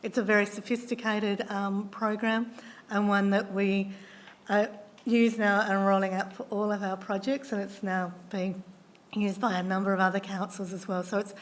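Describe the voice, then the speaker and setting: A woman, a council officer who is losing her voice, answering councillors' questions in a measured way with many ums and restarts. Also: medium pitch, level tone. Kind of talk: formal meeting answers.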